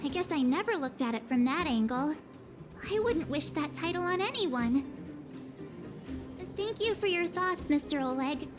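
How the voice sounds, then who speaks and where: Very high-pitched earnest young girl. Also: moderate pace, music in the background. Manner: earnest, expressive. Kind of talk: video game voice acting.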